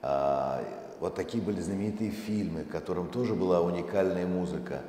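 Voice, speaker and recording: A middle-aged man giving a live presentation to an audience, explaining things in a halting way through a handheld microphone on stage. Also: low voice, slight background noise. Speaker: museum director presenting an exhibition project. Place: large dark auditorium stage, indoors. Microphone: handheld microphone through hall speakers. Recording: echoey hall with long reverb, loud music. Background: archival music playing.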